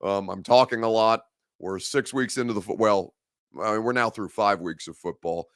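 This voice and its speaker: Hoarse, gravelly man hosting sports talk. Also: fairly low pitch, moderate pace, no echo, clean studio sound. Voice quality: gravelly, growly. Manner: rambling and conversational, restarts phrases, reads viewer comments aloud. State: hoarse, worn down from a head cold. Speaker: sports radio and live-stream host. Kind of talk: casual live-stream sports talk.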